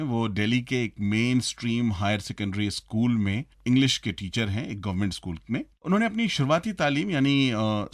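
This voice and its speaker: A man presenting as a radio co-host, in a scripted style addressed to listeners. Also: medium pitch.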